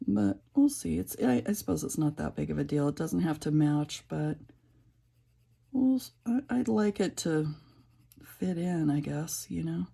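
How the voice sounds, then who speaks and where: A middle-aged woman with a raspy voice, chatting casually and rambling with many fillers while she colors. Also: sits low, a little slow, dark tone. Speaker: coloring channel creator.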